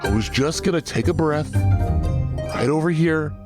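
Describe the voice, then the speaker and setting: A man's voice: on the high side, a little slow, over music, voice pushed up, close to an everyday voice. A man voicing a big brawny disguised creature who complains and pleads in a tabletop roleplay. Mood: whiny, pleading. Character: big brawny disguised creature whining about his itchy skin.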